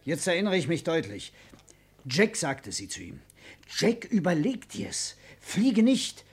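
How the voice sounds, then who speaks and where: A man's voice: a little high, big pitch swings, unhurried, slightly rough, full character voice. An actor playing a chatty baggage clerk, hesitant and rambling as he recalls things, in an old German radio crime play. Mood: remembering.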